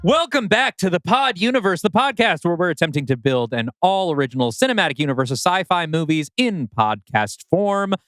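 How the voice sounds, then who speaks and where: A man's voice: high-pitched, expressive, fairly quick, hardly any pauses, a bit bright, no room sound, soft background music. A man co-hosting a podcast in casual chat, with a wry, bantering manner. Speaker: podcast co-host.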